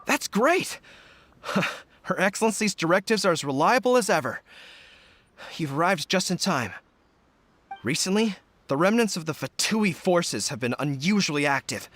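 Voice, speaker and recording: An actor playing an earnest, dutiful young army general in a video game, speaking in a formal military briefing manner. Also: male voice, high-pitched, expressive, normal speed, long pauses, a bit bright. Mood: relieved. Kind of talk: English video game voice acting. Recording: music behind the voice.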